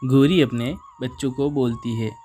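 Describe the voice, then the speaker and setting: A man's voice: medium pitch, fairly even intonation, moderate pace, mellow. A man narrating a scripted children's animal story as its storyteller. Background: music.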